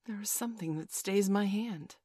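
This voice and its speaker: A cocky young boy leader played by a woman narrator from a children's storybook. The voice is raised well above her usual low register, declamatory and commanding, in a dry recording. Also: sing-song, a little slow, dry recording. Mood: awed.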